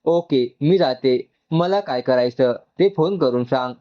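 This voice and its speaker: A man's voice: medium pitch, unhurried, muffled recording, lightly acted. A man voicing a supportive, practical young woman in an audio storybook. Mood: encouraging.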